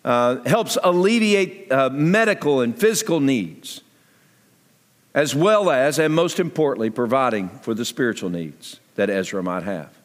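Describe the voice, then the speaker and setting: A man's voice: medium pitch, lively intonation, unhurried. Male pastor in a reverberant church hall. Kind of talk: sermon appeal and guest interview before a congregation. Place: church sanctuary, amplified to a congregation. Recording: echoey large hall reverb.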